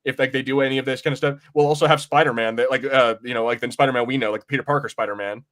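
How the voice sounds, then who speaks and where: A man co-hosting a podcast, chatting casually about pop culture in a rambling, halting way and often repeating phrases. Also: medium pitch, fairly even intonation, a bit bright. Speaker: podcast co-host. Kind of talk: casual film and comics discussion.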